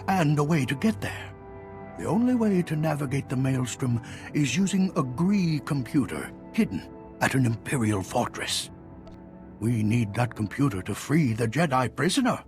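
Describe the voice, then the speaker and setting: A man playing an elderly, theatrical, wise Jedi master in a video game, with dramatic pauses and drawn-out mentor phrasing. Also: mid-range voice, a little mellow, close to an everyday voice.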